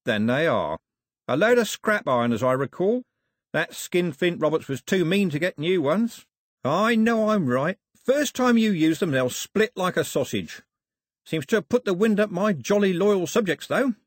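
Male narrator as villainous sailor, mock-jolly, boastful, jeering, animated pitch, storybook. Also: normal speed, no echo, studio quality. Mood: gloating. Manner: theatrical, jeering, colloquial patter. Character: swaggering villainous sailor, mockingly cheerful murderer turned king.

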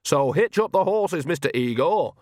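A brash, cheerful old witch woman, as a male narrator puts her on, raised higher and louder than his own voice. She talks in a breezy, blunt, commanding way. The recording is dry and close. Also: lively intonation, normal speed, no echo, close to an everyday voice. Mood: bossy.

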